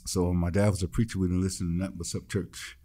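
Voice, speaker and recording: Deep dark-voiced man, punchy streetwise storyteller. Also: level tone, quick pace. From Midwestern United States. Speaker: guest musician and producer. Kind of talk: casual storytelling interview. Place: podcast studio.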